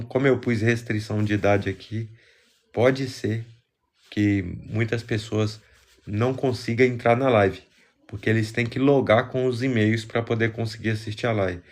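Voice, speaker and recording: A man with a fairly low voice and a Brazilian accent, congested from a cold. He hosts a live stream in a casual, chatty way, speaking directly to his viewers. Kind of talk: casual livestream chat, greeting viewers.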